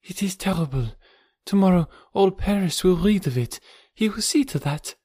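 A man's voice: fairly high pitch, sing-song, measured pace, darkish tone, dry recording, studio quality, voice pushed up. A man voicing an alarmed, passionate young French countess in dramatic audiobook dialogue. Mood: frightened.

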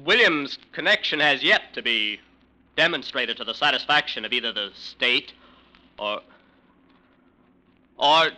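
An actor playing a conflicted, frightened defense lawyer in an old radio crime drama, earnest and halting. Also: male voice, mid-range voice, expressive, sharp tone, rough recording. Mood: formal, faltering. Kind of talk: 1940s-50s radio crime drama acting.

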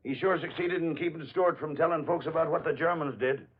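A gruff police sergeant, played by a male actor, pitched a little high. He orders people about in plain folksy speech, in the manner of 1940s radio drama. The sound is dull and aged. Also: fairly quick, a little hiss or hum, lightly acted. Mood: matter-of-fact. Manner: bossy, colloquial, folksy. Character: gruff, take-charge Irish-American police sergeant. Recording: dull lo-fi old radio recording.

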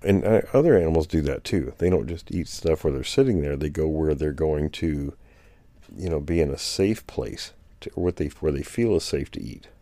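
A man's voice: a bass voice, animated, normal speed, warm tone, no echo. A man talking casually on a podcast, recounting field finds in a rambling way with many restarts. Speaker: guest anthropologist.

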